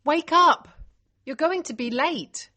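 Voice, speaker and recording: A woman narrator puts on an emphatic, affectionate and bossy woman from a storybook. Her voice is high and swings widely in pitch, urgent and gushing. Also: big pitch swings, normal speed, a bit bright, slight background noise. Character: excited, bossy, affectionate older female relative. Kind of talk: audiobook character dialogue.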